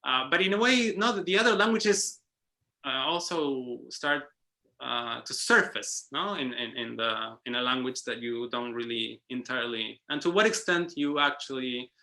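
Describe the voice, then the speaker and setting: Bright-voiced hesitant male academic. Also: medium pitch, lively intonation, normal speed, low-quality recording. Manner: hesitant, many restarts and tag "no?". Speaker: guest speaker. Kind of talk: academic round-table discussion. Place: online round-table call.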